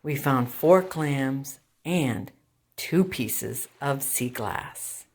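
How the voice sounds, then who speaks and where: Slow, low-voiced woman reading a storybook. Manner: deliberate, expressive phrasing for young children. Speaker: children's storytime reader and teacher. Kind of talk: read-aloud of a children's picture book.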